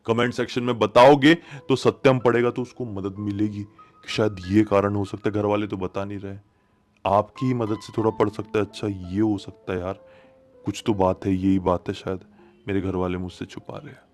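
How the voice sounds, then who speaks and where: Male horror storyteller, lower pitch, conversational, suspenseful, emphatic repetition, background music. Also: level tone, moderate pace. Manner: colloquial, suspenseful, repeats phrases for emphasis. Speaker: horror storyteller, channel host. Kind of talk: conversational dramatic horror narration.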